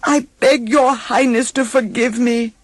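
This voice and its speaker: A woman's voice: a little high, fairly even intonation, a little slow, no echo, voice pushed up. Female reader as fairy-tale huntsman, remorseful, anguished, urgent pleading, raised voice, storybook.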